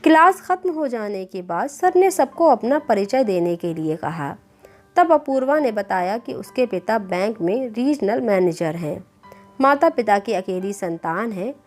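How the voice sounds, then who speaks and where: A woman's voice: mid-range voice, big pitch swings, a little slow, a little mellow. A woman reading a scripted moral story as a storyteller. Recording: clear background music bed. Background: music.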